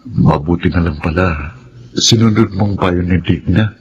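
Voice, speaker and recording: A devoted young suitor, speaks in a low, gentle, earnest voice. It is radio drama with dull sound and clear background noise. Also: male voice, unhurried. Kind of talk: Filipino radio drama acting. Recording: muffled, noisy radio drama recording.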